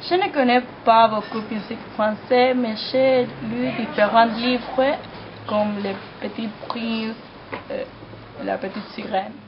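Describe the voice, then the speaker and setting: A woman's voice: fairly high pitch, fairly even intonation, measured pace. A teenage woman from Argentina, a Spanish speaker, giving a prepared account as a student of French. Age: teens. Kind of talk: prepared personal testimony in French as a learner. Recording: muffled recording with clear noise.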